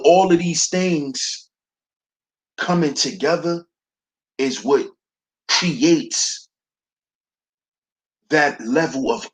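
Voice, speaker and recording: A man with a baritone voice hosting a slow, preachy commentary monologue, calling his listeners brothers. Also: husky, poor audio. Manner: preachy, addresses listeners as brothers, repeats "see". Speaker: commentary channel host.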